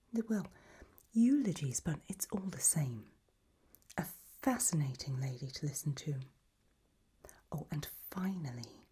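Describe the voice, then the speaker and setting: A woman playing an elegant, macabre gothic matriarch hosting in a soft, breathy ASMR whisper, genteel and darkly droll. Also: lowish voice, exaggerated voice.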